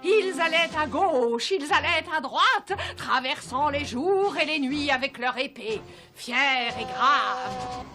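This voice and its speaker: A woman's voice: high-pitched, takes it slowly, music in the background. An actress playing a scheming, jealous court woman who spins tales theatrically in a dubbed fairy-tale cartoon. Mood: sly storytelling. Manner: theatrical, storytelling.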